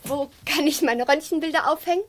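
A woman's voice: high-pitched, a little fast, slight background noise, close to an everyday voice. An actress playing a tender, teasing young woman with an intimate, playful manner in a radio drama. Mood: playful, curious. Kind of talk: German radio drama acting.

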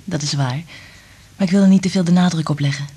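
An actress playing a sensitive, anxious woman in love in a radio play, speaking in a hushed, intimate, half-whispered voice. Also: sits low, fast, slightly rough. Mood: guarded, gentle. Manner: hushed, intimate, careful phrasing. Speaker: radio drama actor. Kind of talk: Dutch radio play acting.

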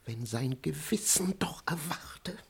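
Muttering, reluctant servant, highish voice. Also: male voice, animated, slow pace, gravelly, breathy edge, full character voice. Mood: uneasy. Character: reluctant, muttering servant man of wicked master. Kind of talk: German radio play acting of classic comedy.

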